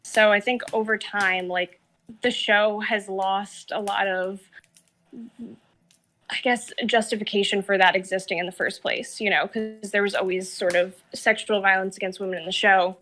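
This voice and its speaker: A woman with a distinctly bright, forward voice at an average pitch talks through her views. Her manner is reflective and analytical, with hesitant phrasing, in a casual discussion. A faint noise sits on the recording. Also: fairly even intonation, normal speed. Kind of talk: casual, thoughtful discussion of a TV series.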